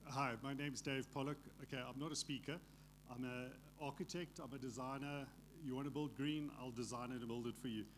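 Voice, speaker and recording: A man's voice: medium pitch, steady tone, brisk, slight background noise. A man, an architect, giving loose, unscripted remarks at a conference, with frequent restarts.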